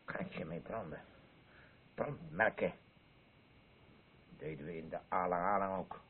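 A man's voice: low-pitched, a little slow. A man playing a drunken, threatening bully with slurred, rambling speech in a radio play. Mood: boozy, jovial. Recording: muffled, phone-like old radio recording.

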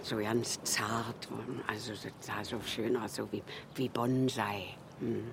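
An actress playing a fond, chatty elderly widow who rambles through memories in a naturalistic radio drama. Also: female voice, extremely low pitch, flat delivery, takes it slowly, background noise, heavily acted. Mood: nostalgic, wistful. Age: older. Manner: rambling, reminiscing, with colloquial tag questions. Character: chatty, fond old Berlin widow, former flower seller.

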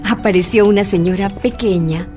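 Woman acting lady interviewer telling her story in a radio play, mid pitch, plucky music behind her. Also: normal speed, close to an everyday voice. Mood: reflective narration. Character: woman interviewer recounting her search for someone. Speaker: narrator. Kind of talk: scripted radio drama narration. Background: plucked string music.

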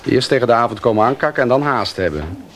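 A man's voice: medium pitch, lively intonation, quick pace, a bit bright, slight background noise, close to an everyday voice. An actor playing a grumbling, dryly joking male police detective in a naturalistic radio drama. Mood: dry, matter-of-fact. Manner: dry, sarcastic.

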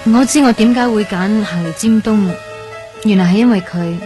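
A woman's voice: mid-range voice, normal speed, over music, close to an everyday voice. An actress playing a dreamy, earnest young woman in a radio drama, speaking Hong Kong Cantonese. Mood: wondering.

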